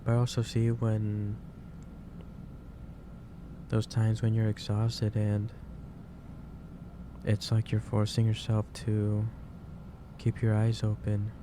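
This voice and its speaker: A man playing a gentle, caring office boss in an ASMR roleplay, speaking in a hesitant, reassuring way. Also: fairly low pitch, monotone, takes it slowly, long pauses. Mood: fond, concerned. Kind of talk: ASMR roleplay, soft improvised monologue. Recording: clear background noise, ambience.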